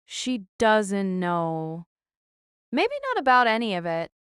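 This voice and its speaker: A woman's voice: medium pitch, big pitch swings, slow, a bit bright, clear, resonant tone, no room sound, clean studio sound. A woman playing a weary, earnest runaway fugitive in an audio drama.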